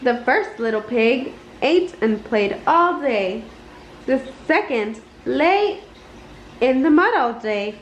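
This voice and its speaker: A woman with a high voice reads a children's story aloud, unhurried and expressive. Her pitch moves up and down a lot. The recording sounds roomy, like a simple home setup. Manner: animated, deliberate storytelling. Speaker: storybook reader. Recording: roomy, slightly dull, noisy home recording.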